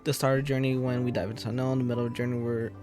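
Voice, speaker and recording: A woman's voice: a bass voice, level tone, racing, over music. A woman casually commentating on a game live, sometimes reading choices aloud. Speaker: game streamer.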